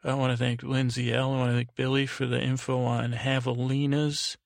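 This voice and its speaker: A man hosting a bedtime podcast in a meandering, halting monologue, repeating words and drifting off on tangents. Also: medium pitch, little pitch movement, vocal fry, no room sound, studio quality. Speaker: podcast host. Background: music.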